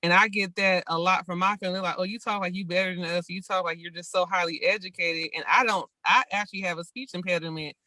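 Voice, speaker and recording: A woman's voice: fairly low pitch, fairly even intonation, quick pace, few pauses, pushed and bright, no room sound. A woman from California talking in a book club discussion on a video call, earnest and reflective. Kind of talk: casual group discussion.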